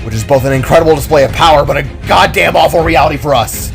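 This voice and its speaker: A man's voice: on the high side, fast talker, gravelly, soft background music. A man voicing an analytical, dry-witted male adventurer in an audiobook, musing and wordy. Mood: awed, worried. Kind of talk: dramatic audiobook character acting.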